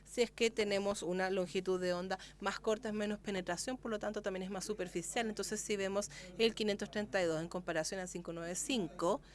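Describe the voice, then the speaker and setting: A woman's voice: medium pitch, steady tone, a little fast, hardly any pauses. A woman giving live interpretation of a medical lecture, speaking steadily and often correcting herself mid-word.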